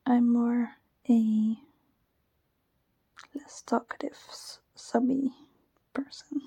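A shy young Dutch-accented woman talks in a soft, breathy whisper close to the mic, her voice dark and gravelly at the edges. She speaks slowly and hesitantly, with nervous giggles and a flat, even tone. The recording is dry and intimate. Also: fairly high pitch, no room sound. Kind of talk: whispered personal ramble, ASMR-like. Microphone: close microphone.

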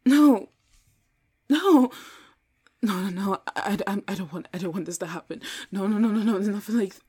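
A woman acting a foul-mouthed young woman in an audio drama, speaking in a halting, informal way with breathy murmurs. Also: medium pitch, fairly even intonation, a little mellow, dry recording, studio quality, close to an everyday voice. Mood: frightened, pleading. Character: foul-mouthed young woman, casual with friends, pleading when threatened. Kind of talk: solo audio roleplay drama, one side of dialogue.

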